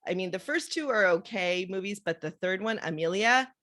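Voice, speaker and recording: A woman's voice: mid-range voice, moderate pace, background noise. A middle-aged woman hosting a podcast, chatting casually and telling stories with lots of fillers. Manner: chatty, animated storytelling with frequent fillers and laughs. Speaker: podcast host. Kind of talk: casual interview chat.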